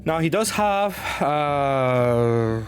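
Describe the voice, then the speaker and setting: A man's voice: medium pitch, animated, very slow. A man giving casual gameplay commentary, thinking aloud in a hesitant way as he plays. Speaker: card game player presenting match commentary. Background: music.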